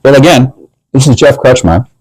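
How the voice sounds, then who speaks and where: A man's voice: mid-range voice, lively intonation, moderate pace, a little mellow, breathy edge, dry recording, poor audio. A man hosting a casual tasting interview in a folksy, chatty way, talking to his guest and plugging promotions. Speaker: show host.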